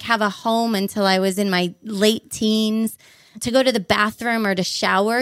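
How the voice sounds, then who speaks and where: A young woman from Hawaii tells her story with bright enthusiasm, piling up vivid details as she goes. Her voice sits at an ordinary middle pitch. The recording is close and dry, with no room sound. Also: no echo. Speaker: podcast guest. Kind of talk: storytelling interview answers.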